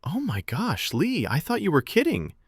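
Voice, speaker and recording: A man's voice: high-pitched, wildly swooping pitch, moderate pace, husky, no echo, clean studio sound, voice pushed up, full character voice. A man voicing a friendly, joking young woman with a light, playful manner in an audio story. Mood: surprised.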